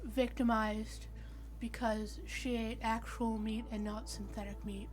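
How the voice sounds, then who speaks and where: A woman player at a tabletop game, chatting casually and telling stories in an animated, quote-filled way. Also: on the high side, measured pace, rough recording. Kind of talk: casual chat.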